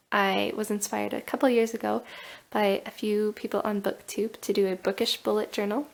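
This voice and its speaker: A woman's voice: medium pitch, vocal fry, darkish tone. A woman in her thirties with a cold, chatting casually about her journal and thinking aloud with small fillers. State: has a cold. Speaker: booktuber. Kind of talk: casual walkthrough vlog. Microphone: overhead camera on table, hands only.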